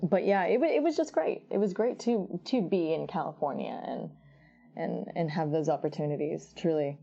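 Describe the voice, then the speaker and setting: A young actress with a deep voice for a woman, chatting in an interview and telling stories with laughs and many restarts. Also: lively intonation, normal speed, vocal fry, mellow, lo-fi sound, soft background music. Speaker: actress guest. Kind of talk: casual interview chat.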